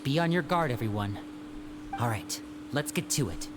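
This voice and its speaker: A man's voice: mid-range voice, expressive, moderate pace, heavy background noise, close to an everyday voice. An actor playing an earnest, assured young man who leads his group in a video game, speaking articulately. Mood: decisive. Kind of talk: video game character acting.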